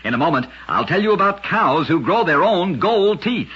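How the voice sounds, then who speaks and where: A man narrating short scripted stories in an old-time radio style, with an emphatic showman delivery and a husky voice. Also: high voice, big pitch swings, normal speed, fairly bright tone. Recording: dull, lo-fi old radio recording.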